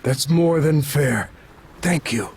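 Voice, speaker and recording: A man's voice: on the high side, slow, darkish tone, a little hiss or hum. An actor playing an earnest, hesitant middle-aged town doctor and worried father in a video game cutscene. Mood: resolute, earnest.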